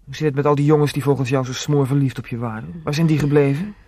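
A man's voice: medium pitch, fairly even intonation, fast, warm tone, no room sound, natural voice. An actor playing a wavering man in his thirties in a radio play, defensive and nervous, stammering over repeated words. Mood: defensive, sulky. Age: 30s.

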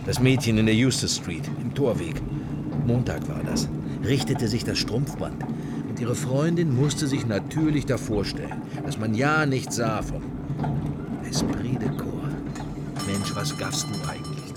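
A man's voice: medium pitch, animated, measured pace, very noisy, natural voice. A man playing a pensive middle-aged husband in a radio drama, wry and furtive, musing in clipped fragments. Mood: frustrated. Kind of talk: radio drama inner monologue and dialogue.

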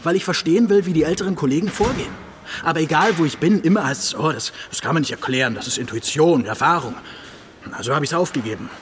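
Man acting eager young police trainee, lightish pitch, earnest, halting, radio play, noticeable ambient noise. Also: lightly acted. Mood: frustrated. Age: young adult. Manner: hesitant, earnest. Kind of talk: naturalistic radio drama acting.

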